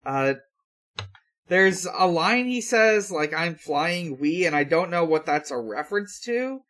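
Slow, halting man recapping casually. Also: a little high, animated, a bit bright. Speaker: podcast host. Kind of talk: casual recap talk with co-hosts.

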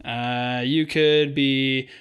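A man's voice: medium pitch, steady tone, very slow, fairly bright tone, smooth, lo-fi sound. A man in his twenties co-hosting a casual sports podcast, laid-back and joking, with an unpolished delivery full of fillers. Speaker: podcast co-host.

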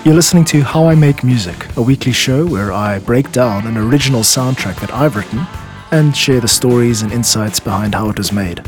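A man's voice: mid-range voice, a little fast. A man hosting a show as a music composer, giving scripted explanatory narration. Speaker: podcast host and music composer.

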